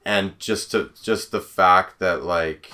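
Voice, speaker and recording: A man's voice: low-pitched, slow, pushed and bright. A man hosting a podcast interview in a casual, rambling way, full of fillers and restarts. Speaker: podcast host.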